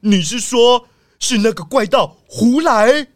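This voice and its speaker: A man's voice: extremely high pitch, expressive, takes it slowly, background noise, full character voice. A man playing an eager, chatty police squad leader in an audio drama, exclamatory and keen to please. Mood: excited, alarmed.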